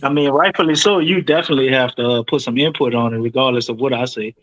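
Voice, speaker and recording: A man's voice: mid-range voice, fast talker, a bit bright, no room sound, muffled recording. A man with a New Orleans accent co-hosting a casual sports podcast and making wry, playful jokes. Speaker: podcast co-host.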